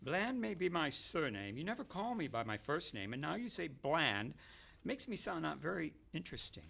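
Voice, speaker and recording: A man's voice: a little high, very animated, normal speed, creaky, fairly bright tone, slightly rough, full character voice. A man playing a sulky, complaining member of an outlaw band in a comic radio play. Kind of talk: radio-play comedy acting.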